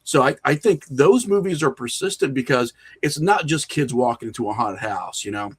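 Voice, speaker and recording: A man talking casually and conversationally as a podcast guest, telling things in an animated, storytelling way. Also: mid-range voice, normal speed, breathy edge. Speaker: podcast guest, horror filmmaker and writer.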